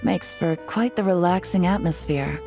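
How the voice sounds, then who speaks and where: A woman's voice: on the low side, moderate pace, creaky, warm tone, soft background music. An actress voicing a composed, lightly teasing woman agent in a game cutscene. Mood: relaxed. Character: poised cool woman agent on vacation.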